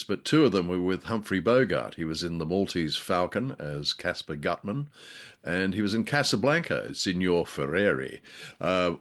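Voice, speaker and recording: A man with a fairly low voice chats as co-host of a show about vintage radio drama. His delivery is casual and halting, with dry wit and a habit of drifting off into asides. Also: a bit bright. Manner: hesitant, wry, digressive. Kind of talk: casual chat, review commentary.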